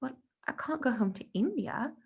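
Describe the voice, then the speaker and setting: A woman's voice: high voice, animated, normal speed, creaky, darkish tone, husky, voice pushed up, exaggerated voice. A woman reading a storybook and voicing a forlorn, subdued schoolboy who is left at school for the holidays.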